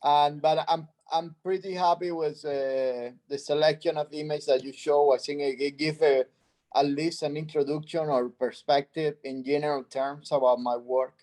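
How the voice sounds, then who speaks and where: A middle-aged man from Cuba speaks English with a Spanish accent, mellow and warm in tone, on a fairly high pitch. He reflects slowly on his work, restarting phrases as he searches for words. His voice comes over a video call. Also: steady tone, rough recording. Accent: Cuban Spanish. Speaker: artist guest. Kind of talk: reflective interview answers.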